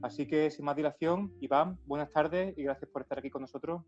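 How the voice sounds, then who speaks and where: A man's voice: fairly high pitch, brisk, darkish tone. A male host opening an interview and asking long, rambling questions in a chatty way. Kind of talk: friendly interview hosting. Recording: dull lo-fi audio with faint music.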